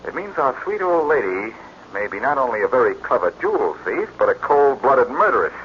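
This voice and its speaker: A man's voice: medium pitch, fairly quick, lightly acted. A man playing a cool, sardonic reformed-thief detective in 1940s radio acting. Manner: cool, sardonic, lively delivery. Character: wry, confident reformed-thief sleuth. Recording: muffled old radio transfer with hiss.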